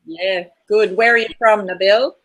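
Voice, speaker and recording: An Australian woman hosting an informal online discussion, speaking in measured, tentative phrases. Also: mid-range voice, fairly even intonation. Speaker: host.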